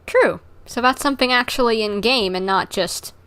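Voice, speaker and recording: A woman's voice: fairly high pitch, moderate pace, fairly bright tone. A woman livestreaming a game, giving casual, playful and chatty reactions. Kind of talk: casual live commentary over a game.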